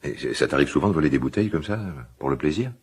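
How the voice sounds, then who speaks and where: An actor playing a gruff, quick-tempered but caring middle-aged father in a radio drama, with halting, repetitive, colloquial speech. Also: expressive, exaggerated voice. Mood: stern, scolding. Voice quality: deep, gravelly, husky. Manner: halting, repeats himself, blustering colloquial speech. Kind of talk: naturalistic French radio drama acting.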